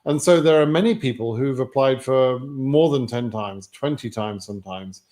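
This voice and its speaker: A man's voice: medium pitch, normal speed, a little mellow. A man with a British accent hosting a livestream Q&A, reading out viewer questions and answering them bluntly and matter-of-factly. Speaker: livestream host answering immigration lottery questions.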